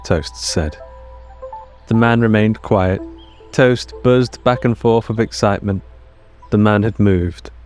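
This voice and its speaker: A man narrating scripted audio fiction in a measured, deliberate storytelling manner with short dramatic phrases. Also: on the low side, a little mellow. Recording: music under the narration.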